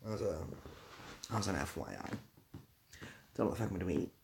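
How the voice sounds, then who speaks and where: A man's voice: low-pitched, very animated, brisk, creaky, a little mellow, a little hiss or hum. A young British man chatting casually on a livestream, rambling a little and dryly playful as he responds to viewers. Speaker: live streamer. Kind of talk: casual livestream chat, answering viewer comments.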